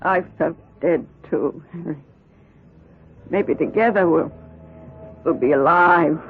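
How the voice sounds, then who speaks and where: Husky, anxious middle-aged old flame. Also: female voice, low-pitched, soft background music, exaggerated voice. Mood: tender, hesitant. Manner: hesitant, halting delivery. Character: worn middle-aged woman, anxious, wounded, tenderhearted. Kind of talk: 1970s radio drama acting. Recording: tinny, band-limited old radio broadcast.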